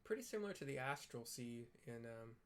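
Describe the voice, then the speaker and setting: A man's voice: mid-range voice, sing-song, a little hiss or hum. Man casually commentating on a game. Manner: halting, musing aloud. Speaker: gamer narrating a video playthrough.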